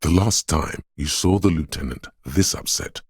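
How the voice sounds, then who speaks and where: A man voicing a steadfast inner voice of willpower in a video game, a stern yet encouraging mentor with a measured, solemn delivery and a gravelly, husky tone. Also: very deep voice, no room sound, studio quality. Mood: grave reproach. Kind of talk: scripted game narration, second-person counsel.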